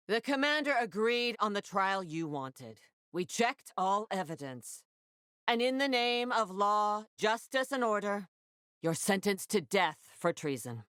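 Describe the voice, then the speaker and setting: A woman's voice: mid-range voice, fairly bright tone, clean tone, no room sound, studio quality. A woman playing a tough, commanding, foul-mouthed military officer in a video game, with a clipped, no-nonsense delivery. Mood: formal, solemn.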